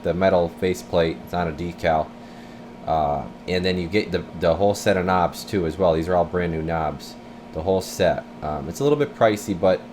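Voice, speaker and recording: A man with a very low voice talks casually through his bench work like a repair technician. His delivery is informal and rambling, explaining as he goes. A steady noise sits clearly behind him in the workshop recording. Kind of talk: casual hands-on demonstration vlog. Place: indoor radio repair workbench.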